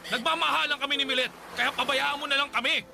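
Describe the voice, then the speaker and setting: A man's voice: very high voice, steady tone, quick pace, creaky, background noise. A Filipino actor playing an exasperated young man caught between two lovers in a radio drama, protesting with a raised voice. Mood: fed up. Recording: dramatic music bed behind voice.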